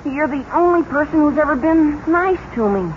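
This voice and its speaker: Very high-voiced bashful teenage boy. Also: expressive, moderate pace. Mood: lovestruck. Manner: gushing, hesitant, bashful. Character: painfully shy, lovestruck, earnest teenage boy. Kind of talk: 1950s radio comedy acting. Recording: muffled, noisy old radio recording.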